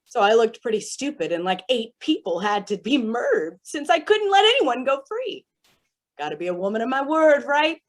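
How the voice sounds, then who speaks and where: A woman's voice: a little high, sing-song, moderate pace. A woman playing a chatty, theatrically polite and menacing villainess in a staged play reading. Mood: manic chatter. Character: manic, chatty villainess with smeared mime makeup.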